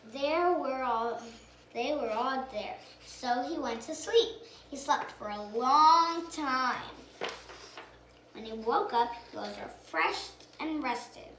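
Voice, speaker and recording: A female storyteller reading a picture book aloud in an animated way, stopping to put questions to the listener. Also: high-pitched, slow pace. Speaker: storyteller on a children's read-aloud channel. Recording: roomy echo, faint background noise.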